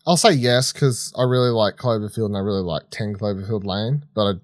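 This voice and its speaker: A man co-hosting a film podcast in casual chat, halting and rambling as he thinks aloud. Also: lowish voice, no echo, clean studio sound. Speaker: podcast co-host.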